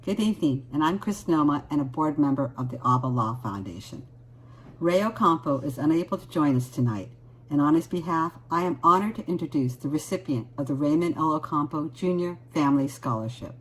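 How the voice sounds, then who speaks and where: A woman's voice: low-pitched, expressive, brisk, slightly rough, poor audio. A woman on a foundation board giving a prepared, formal award introduction.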